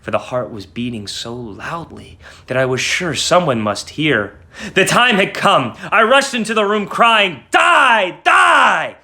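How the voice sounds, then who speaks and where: A man playing a mad, guilt-ridden murderer narrating a horror story, dramatic, shifting between hushed and frantic. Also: on the high side, big pitch swings, measured pace, voice pushed up, heavily acted. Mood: tense, chilled, then frantic, crying out. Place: small home room.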